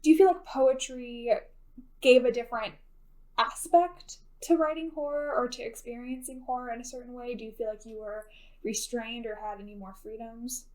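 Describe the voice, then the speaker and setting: A woman with a slightly high voice works as a podcast interviewer. She puts her questions casually and inquisitively, phrasing them loosely as in everyday conversation. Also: steady tone, normal speed, a little mellow, low-quality recording. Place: recording studio.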